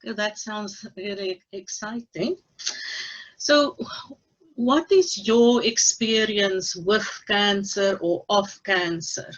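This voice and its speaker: Measured female host, slightly muffled. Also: medium pitch. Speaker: cancer recovery coach and interviewer. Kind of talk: hosting a video-call interview. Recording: slightly dull call audio.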